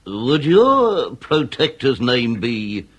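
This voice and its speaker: An actor playing a pompous, wordy Victorian police inspector with an English accent and theatrical, drawn-out emphasis, in a period comedy radio drama. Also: male voice, mid-range voice, very animated, fairly bright tone, heavily acted. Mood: probing, emphatic. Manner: theatrical, orotund, drawn-out emphasis with occasional stammer.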